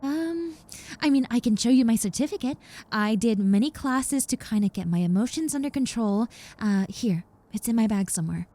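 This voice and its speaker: A woman's voice: mid-range voice, mellow, dry recording, close to an everyday voice. A woman playing a nervous, apologetic young reformed yandere in a roleplay audio drama, speaking in a hesitant, stammering, earnest and reassuring way. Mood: flustered, explaining. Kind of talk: roleplay audio drama, conversational monologue to the listener.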